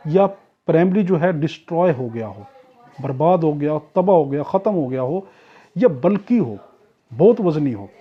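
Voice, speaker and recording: A man's voice: high-pitched, husky. A man with a Pakistani accent giving an explanatory law lecture as a tutor, mixing in English terms, repeating points and answering his own questions. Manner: didactic, repetitive, asks and answers own questions. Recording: dull, muffled lo-fi audio.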